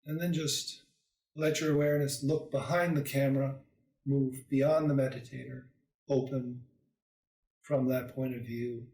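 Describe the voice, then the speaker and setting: A man leading a guided meditation as a teacher, calm and soothing, leaving long pauses between short phrases. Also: mid-range voice. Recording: roomy echo, distant microphone.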